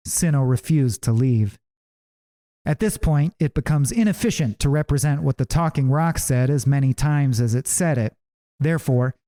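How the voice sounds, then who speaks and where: Mellow, measured male audiobook narrator. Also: mid-range voice. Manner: measured, deliberate, with pauses. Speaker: author reading his own audiobook.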